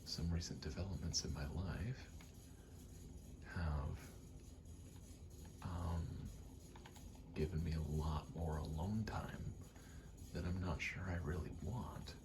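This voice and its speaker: A man with a very deep, creaky voice rambles softly in an ASMR style, often slipping into a breathy whisper. He is casual, sweary and self-deprecating. A rattling vent adds clear noise behind him. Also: fairly even intonation, slow pace, long pauses. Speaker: video creator rambling to camera. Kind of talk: soft-spoken ASMR ramble.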